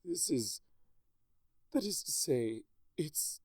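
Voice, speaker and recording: A man's voice: on the high side, very animated, slow, a little mellow, dry recording, clean studio sound, voice pushed up, exaggerated voice. A man voicing a regal female pony princess with a cold, wheezing and strained.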